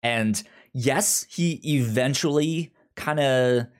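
A man's voice: medium pitch, takes it slowly, clear, resonant tone. A man co-hosting a film review podcast over a video call, talking casually with many stammered restarts. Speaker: podcast co-host. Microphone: remote video call.

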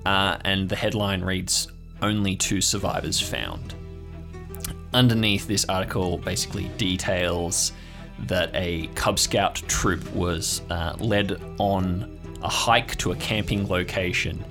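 A man runs an improvised tabletop story in a low voice with some fry. He describes each detail in measured, halting phrases. Faint music plays behind him. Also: steady tone. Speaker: game master.

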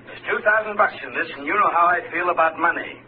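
Pushy, high-pitched male radio crime boss acted. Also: close to an everyday voice. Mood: scheming, bossy. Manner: impatient, bossy, fast-talking badgering. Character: greedy, pushy male detective agency boss. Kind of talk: 1940s radio detective acting. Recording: tinny vintage radio recording with faint noise.

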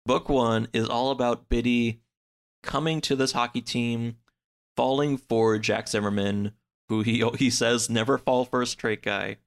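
A man in a casual podcast chat, recapping a story in a halting, hedging way. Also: mid-range voice, steady tone, vocal fry, clear, resonant tone. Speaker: podcast speaker discussing books.

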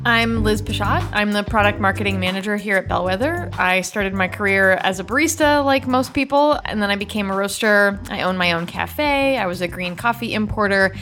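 A woman from the United States hosting a podcast, reading scripted narration in a clear, upbeat way. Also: mid-range voice, moderate pace, hardly any pauses, a bit bright, soft background music. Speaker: podcast host.

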